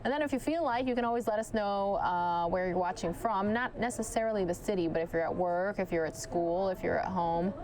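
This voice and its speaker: A woman news anchor giving live commentary on a police chase, chatting directly with viewers, her voice starting to go hoarse. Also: mid-range voice, fairly quick, hardly any pauses, darkish tone, a little hiss or hum. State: losing her voice.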